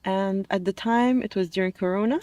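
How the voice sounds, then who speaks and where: A woman's voice: medium pitch, fairly quick, mellow, no echo. A woman in her twenties who grew up in Dubai, answering questions in a casual, conversational way as an interview guest. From American who grew up in Dubai. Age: 20s. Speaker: interview guest, master's student.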